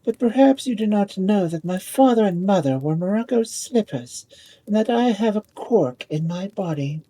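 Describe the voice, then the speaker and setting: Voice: mid-range voice, lively intonation, normal speed, mellow, voice pushed up, lightly acted. A storyteller voicing a vain, prim young lady ball in a fairy tale, with an airy, self-regarding manner. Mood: haughty, coy.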